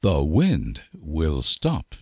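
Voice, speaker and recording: A man reading short example sentences for language learners with deliberate, careful enunciation. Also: rumbling low, huge pitch swings, a little mellow, husky, dry recording, clean studio sound. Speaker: language teacher.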